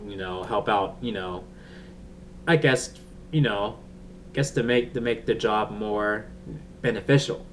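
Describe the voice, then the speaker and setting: A man giving a casual, unscripted review as he chats, halting and rambling as he searches for words. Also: fairly low pitch, fairly bright tone. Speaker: TV episode reviewer. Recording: distant mic with faint background noise.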